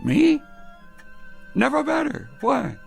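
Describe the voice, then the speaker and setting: A man's voice: extremely high pitch, huge pitch swings, slow pace, vocal fry, faint music behind, heavily acted. An actor playing an elderly, absent-minded clockmaker grandfather in a radio drama, fussy and muttering with hesitant starts. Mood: defensive, cheerful.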